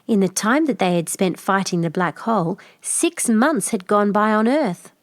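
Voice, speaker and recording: A woman's voice: mid-range voice, dry recording, studio quality. A woman reading a children's picture book aloud as a scripted narration. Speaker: storybook reader.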